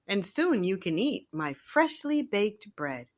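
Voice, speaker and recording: A woman voicing a female animal friend in a children's story, a bossy but caring breakfast host, brisk and chiding. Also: mid-range voice, sing-song.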